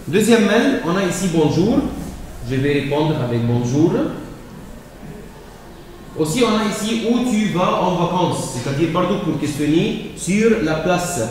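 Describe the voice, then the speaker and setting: A man walks through French exercises like a teacher, slow and halting, often repeating himself. His fairly high voice sits far back in a reverberant room with long echo. A faint hum of room ambience runs underneath. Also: big pitch swings, a little mellow. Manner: hesitant, repeats words and fills pauses with euh.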